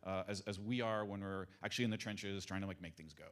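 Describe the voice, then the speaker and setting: A man's voice: deep voice, fast. A man from France co-chairing a conference, speaking playfully to a live audience. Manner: animated, laughing, playful banter. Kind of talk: casual talk to a live audience. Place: conference room on stage.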